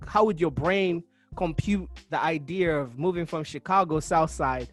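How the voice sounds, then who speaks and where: Man raised in Uganda, podcast host, higher than usual register; reflective and halting, restarting his thoughts as he goes. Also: soft background music. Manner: thoughtful, searches for words, many restarts. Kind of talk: casual interview chat.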